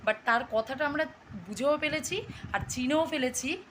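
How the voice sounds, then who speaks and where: A woman's voice: sits high, moderate pace, fairly bright tone. A young woman with a West Bengal Bengali accent chatting casually to viewers in a vlog, addressing them as friends and mixing in English words. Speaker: vlogger. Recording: faint background noise.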